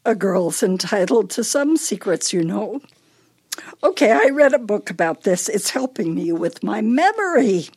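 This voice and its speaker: An actress playing a forgetful elderly wife in a radio play, teasing and fretful with a deliberate, wistful manner. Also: female voice, medium pitch, very animated, darkish tone, slightly rough. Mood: playful, muddled.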